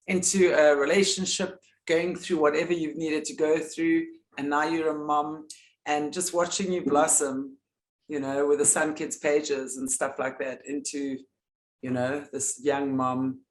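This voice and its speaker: A woman with a deep voice hosting a casual, heartfelt chat, gushing and appreciative in her words. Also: echoey room, low-quality recording. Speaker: host.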